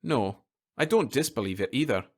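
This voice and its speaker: A man's voice: mid-range voice, animated, moderate pace, husky. A man voicing a sandy-haired, cautious explainer in a story, speaking in a careful academic manner. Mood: measured, cautious.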